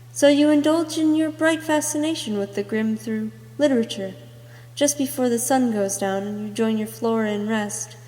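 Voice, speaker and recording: A woman's voice: a little high, mellow. A woman reading a scripted character introduction as an amateur voice actor, in a narrated storytelling style. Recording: echoey, long room reverb.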